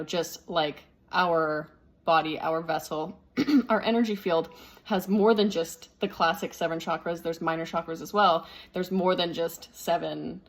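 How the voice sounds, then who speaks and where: Low-pitched woman teaching. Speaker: spiritual teacher. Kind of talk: unscripted spiritual lesson.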